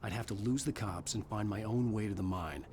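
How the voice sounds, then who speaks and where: A man's voice: fairly low pitch, brisk, darkish tone, a little hiss or hum, close to an everyday voice. A man voicing a brooding, haunted writer who narrates his ordeal in a video game thriller. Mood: brooding, tense. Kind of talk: scripted first-person thriller narration.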